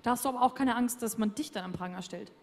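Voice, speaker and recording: A woman's voice: fairly high pitch, fast talker, big-room sound. A woman interviewer on a studio stage, asking long, probing questions into a handheld mic. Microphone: handheld microphone.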